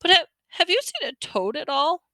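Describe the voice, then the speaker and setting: A woman doing a high tearful boy, Neville. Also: sing-song, normal speed, bright, forceful tone, no echo, studio quality, heavily acted. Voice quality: high wailing voice. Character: anxious tearful young boy who lost his toad.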